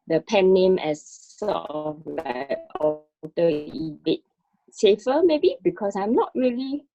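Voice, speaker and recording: A woman talks reflectively and casually with a fellow artist, feeling her way through her sentences. Her voice is lowish and soft-edged in tone, picked up dry with a slightly dull sound. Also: dry recording. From Singapore. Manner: hesitant, self-conscious, searching for words.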